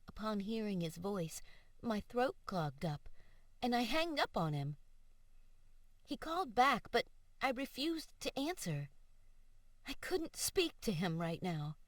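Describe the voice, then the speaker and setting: A woman audiobook narrator reading first-person fiction in an expressive, emotive and deliberate way. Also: mid-range voice, dry recording. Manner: emotive, measured, deliberate delivery. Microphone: studio voice-over microphone.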